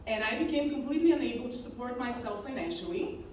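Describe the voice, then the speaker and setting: Woman, 30s, high voice, confessional conference speaker, heard from far off in a very echoey hall. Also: lively intonation. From Bulgaria. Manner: earnest and confessional, slowing down on key words. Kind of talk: personal story talk on stage. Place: large conference hall stage. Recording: very echoey hall, distant mic, faint noise.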